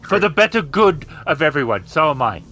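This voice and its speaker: A man's voice: a little high, very animated, a little fast, sharp tone, full character voice. A man playing a smug, misanthropic villain robot with a theatrical, taunting delivery. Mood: scornful, goading.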